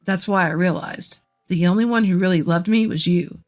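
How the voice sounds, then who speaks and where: A woman's voice: lowish voice, normal speed, darkish tone, voice pushed down, natural voice. A woman voicing a middle-aged ex-husband in an audio story, lazy, smug, whiny and oblivious. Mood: smug, presumptuous. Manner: smug, clueless, stammering when challenged.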